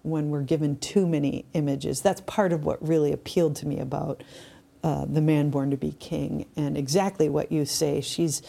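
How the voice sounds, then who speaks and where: A woman's voice: low-pitched, steady tone, moderate pace, vocal fry, mellow. A woman co-hosting a podcast, talking in a casual, discursive style. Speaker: podcast co-host.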